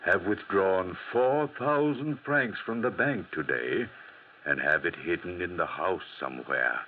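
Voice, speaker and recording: An actor playing a self-important gendarme, confidential and ponderous, in 1940s radio drama. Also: male voice, deep voice, measured pace, narrow, tinny audio, slight background noise. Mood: confiding, grave. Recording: thin, band-limited 1940s radio recording.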